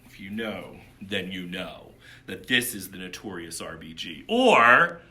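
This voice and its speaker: A man's voice: low voice, big pitch swings, unhurried, sharp tone. A man hosting a casual livestreamed story time for children, playful and chatty, talking straight to his viewers.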